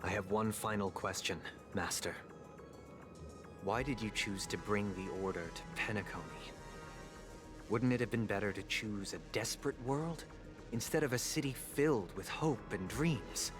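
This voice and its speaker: An actor playing a resolute, composed young zealot in a video game, with a poised, formal, theatrical delivery. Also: male voice, lowish voice, moderate pace, lightly acted. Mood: questioning. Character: resolute young male zealot, devoted brother, composed and lofty. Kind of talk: dramatic video game acting. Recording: game audio under loud music.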